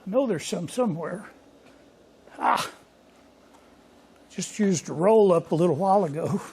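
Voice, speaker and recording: An older man hosting a casual workshop vlog from his home machine shop, talking in a hoarse, rambling, folksy way. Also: high voice, a little slow, a little mellow, slight background noise. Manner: rambling, folksy and self-deprecating. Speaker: workshop channel host.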